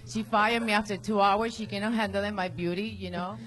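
A woman speaks in broken, halting English with a heavy Venezuelan accent. Her voice sits at an ordinary middle pitch, and she rambles on a comedy club stage. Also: steady tone, a bit bright, rough recording. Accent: Venezuelan Spanish. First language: Spanish. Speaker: comedian at open mic. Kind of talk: stand-up and panel chat.

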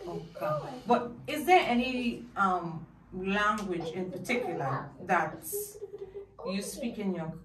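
Slow, lively Trinidadian woman, far off. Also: mid-range voice, noisy background. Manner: slow, patient explaining. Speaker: guest. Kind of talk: casual chat. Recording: roomy sound from a distant mic.

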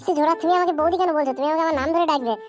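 Flirtatious married lady for a comedy cartoon, woman performer: adoring, coy, high-pitched, light music beneath. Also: rapid-fire, lightly acted. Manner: coy, affectionate. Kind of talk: amateur comedy cartoon dubbing.

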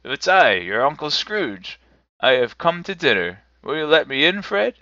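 A man's voice: a little high, sing-song, unhurried, bright, forceful tone, no echo, dull audio, voice pushed up, heavily acted. A man voicing an elderly reformed miser in a storybook reading, effusively polite, eager and full of exclamations. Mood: hopeful. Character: elderly reformed miser, giddy, courteous, overjoyed.

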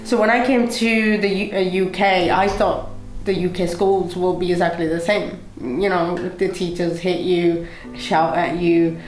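A woman's voice: on the low side, fairly even intonation, unhurried, reverberant, faint music behind, low-quality recording. A young woman from Pakistan whose first language is Urdu, telling her life story to an interviewer with many fillers and restarts. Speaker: motivational speaker, guest. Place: indoor studio set with brick wall, seated at table.

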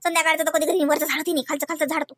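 A woman's voice: high voice, level tone, racing, fairly bright tone, no room sound, clean studio sound. A woman voicing homely village women in a comedy cartoon, gossiping in folksy Varhadi Marathi from Vidarbha. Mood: chatty, grumbling.

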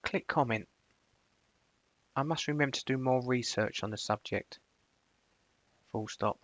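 A man presenting a screencast software tutorial, switching between casual explanation and clipped spoken commands. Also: medium pitch, unhurried, no room sound, muffled recording.

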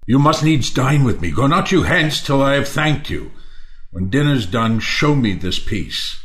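An actor playing a generous, courtly Athenian lord in a Shakespeare play reading. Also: male voice, mid-range voice, sing-song, measured pace, fairly bright tone. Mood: courtly, genial. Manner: courtly, hospitable. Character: Timon, gracious generous Athenian lord, male.

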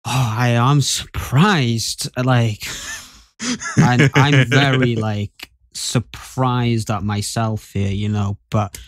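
A man's voice: mid-range voice, fairly even intonation, drawn-out words, no room sound. A young man chats as a podcast co-host. He takes his time, drawing words out with mock solemnity. His mid-range voice is recorded dry, with no room around it. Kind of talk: casual podcast chat.

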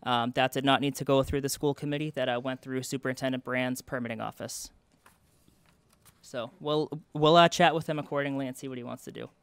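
A man's voice: mid-range voice, fairly even intonation, brisk, clean tone, dry recording. A young man presenting to a town board at a public meeting, earnest and explanatory, with many fillers and restarts. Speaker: event committee representative. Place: town hall meeting room.

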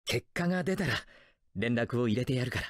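A man's voice: mid-range voice, lively intonation, fairly quick, dry recording, clean studio sound, lightly acted. A man playing a stern, protective adult ninja teacher in an anime game, speaking earnestly and firmly. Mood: stern, guarded. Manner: earnest, firm, admonishing. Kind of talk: dramatic anime game acting.

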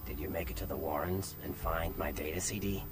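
An actor playing an old, sly male vampire information broker in a video game, with a smug, deliberate delivery. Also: low-pitched, steady tone, noisy background. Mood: smug, instructive.

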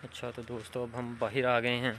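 Young man vlogging over loud shed noise. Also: mid-range voice, monotone, fairly quick. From Pakistan. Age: young adult. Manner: cheerful, greets workers and addresses viewers as friends. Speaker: vlogger giving a farm tour. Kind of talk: casual walking tour commentary. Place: large poultry shed with rows of caged hens. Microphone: phone held at arm's length.

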